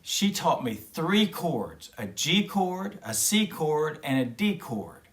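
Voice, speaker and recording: A man hosting a kids' show, talking gently and folksily to children between guitar demos. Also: fairly high pitch, very animated, slow pace. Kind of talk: friendly talk to kids with guitar demos. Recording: music loud in background.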